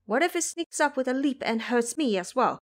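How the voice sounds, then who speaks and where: A worried monk master played by a woman in an audio drama. He speaks formally and plaintively, a gentle and timid man with a lively lilt. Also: sits high, a little fast, voice pushed up. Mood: fearful, plaintive.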